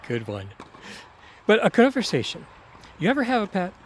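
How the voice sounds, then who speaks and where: A man's voice: high-pitched, huge pitch swings, normal speed, a little hiss or hum. A man acting a breezy, overconfident, wisecracking buddy and would-be ladies' man in a comedic audio drama. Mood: joking.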